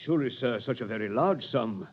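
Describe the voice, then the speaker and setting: An actor playing a flustered, anxious banker in a 1930s radio drama. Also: male voice, mid-range voice, expressive, quick pace, natural voice. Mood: pleading. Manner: flustered, pleading. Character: anxious, pleading male banker. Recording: muffled 1930s radio broadcast.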